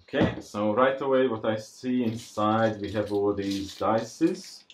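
A man giving casual, unscripted unboxing commentary, thinking aloud in a halting way as he presents. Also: lowish voice, fairly even intonation, slight background noise. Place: indoors at a tabletop. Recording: roomy, echoey sound.

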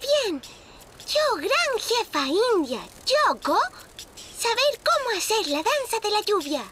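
A woman's voice: very high voice, big pitch swings, slow, a little hiss or hum, heavily acted. A woman voicing a small, cheerful, playful female cartoon ladybug with a giggly, exclamatory manner. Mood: playful, excited. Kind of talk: children's cartoon dubbing. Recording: loud music underneath.

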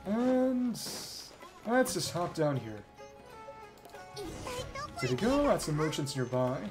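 Low-pitched woman streaming with lively intonation. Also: slow. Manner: musing aloud, switching between reading and reacting. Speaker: game streamer. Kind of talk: live gameplay commentary, reading dialogue aloud. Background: game music.

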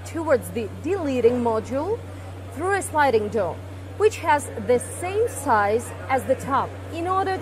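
A woman with a high voice walks listeners through a production line, explaining each step with fillers and restarts. She speaks into a lapel mic on a large factory floor, with music playing clearly underneath. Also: poor audio. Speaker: company presenter. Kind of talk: guided equipment tour.

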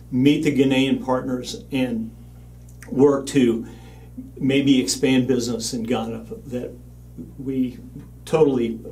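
An older man giving an earnest, measured banquet speech as the leader of a mission organization, standing at a lectern. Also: mid-range voice, mellow, distant mic. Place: studio with backdrop, at a lectern.

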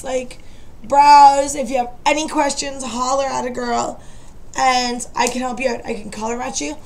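High-voiced woman losing her voice. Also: slight background noise, low-quality recording. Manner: chatty, apologetic, rambling. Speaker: vlogger, makeup seller. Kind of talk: casual chat to camera. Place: dim bedroom at night. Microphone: webcam.

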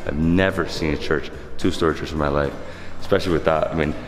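A man in his thirties vlogging casually with a camera at arm's length, excitable and chatty, outdoors and inside a stone church. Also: extremely low pitch, fast talker, long reverb tail, faint music behind. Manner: excitable, exclaims often, chatty. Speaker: travel vlogger. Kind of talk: casual travel vlog narration. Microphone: camera held at arm's length.

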